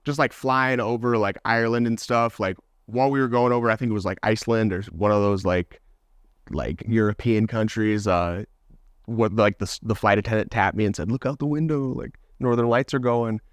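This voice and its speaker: A man's voice: lowish voice, a little fast, clear, resonant tone, no room sound, studio quality. A young man with a Midwestern American accent, chatting on a podcast in a loose, rambling way full of "like". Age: young adult. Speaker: podcast guest. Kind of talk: casual chat.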